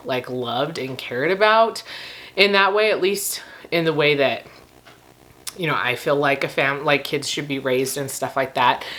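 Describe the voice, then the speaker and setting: A woman with a slightly rough, recovering voice, rambling casually and informally to camera as she reviews books. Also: low-pitched, a bit bright. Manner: informal, laughs often while talking. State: slightly rough, gravelly voice after losing it. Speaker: book review vlogger.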